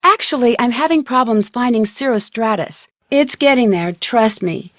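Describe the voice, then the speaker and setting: A woman playing a curious, confident young amateur detective in a video game, speaking in a clear, inquisitive, polite and self-assured way. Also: on the high side, moderate pace, a bit bright, no room sound, studio quality, lightly acted. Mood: friendly, businesslike.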